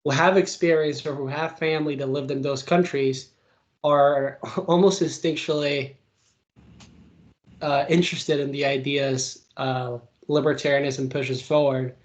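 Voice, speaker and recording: A young man from Venezuela, a political commentator, answering interview questions in a casual, animated way with many fillers and quick bursts. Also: medium pitch.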